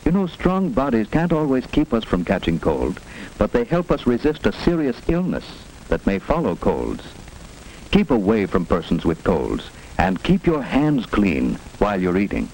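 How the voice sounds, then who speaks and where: Male narrator, lowish and animated, teaching children simply, 1940s school film with faint noise. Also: normal speed. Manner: instructive, simple phrasing for children. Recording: noisy 1940s film soundtrack with hiss.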